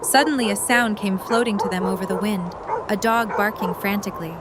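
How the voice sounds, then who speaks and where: Woman narrator over clear background noise. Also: mid-range voice, fairly quick. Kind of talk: scripted story narration. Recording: noisy storm ambience behind.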